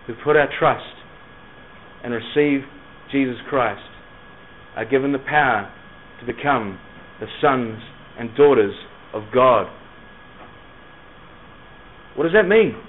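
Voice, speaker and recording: A man with a softened Scottish accent and a touch of New Zealand, preaching to young people with rhetorical questions, pauses and emphatic repetition. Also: mid-range voice, slight background noise. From Scotland, moved to New Zealand as a schoolboy. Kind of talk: evangelistic sermon to young people. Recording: narrow, phone-like lo-fi sound.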